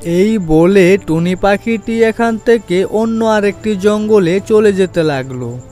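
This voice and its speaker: A man tells a scripted children's story as narrator. His voice is notably high for a male and his pace is very slow. Music plays behind him. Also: warm tone.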